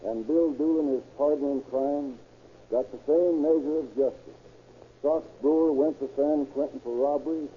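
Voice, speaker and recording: A man's voice: mid-range voice, a little hiss or hum. Man narrating on muffled old radio. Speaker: commentator closing a true crime radio drama. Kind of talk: scripted 1930s radio narration.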